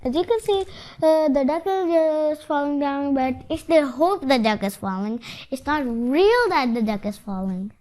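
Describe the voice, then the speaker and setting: A child reading a story aloud, haltingly, and adding simple comments about the pictures. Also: sits high, lively intonation, darkish tone. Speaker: story reader. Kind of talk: read-aloud storytelling with explanatory asides.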